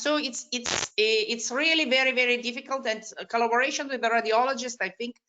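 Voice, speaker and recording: A woman with a foreign accent, not a native English speaker, giving spontaneous expert answers haltingly and repeating words for emphasis. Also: fairly high pitch, sharp tone. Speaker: surgeon on a discussion panel. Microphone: online video call.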